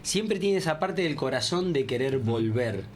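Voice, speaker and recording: A man's voice: mid-range voice, animated, normal speed, slight background noise. A man with an Argentine accent, co-hosting a casual radio show and musing thoughtfully on the guest's story. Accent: Argentine Spanish. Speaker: radio host.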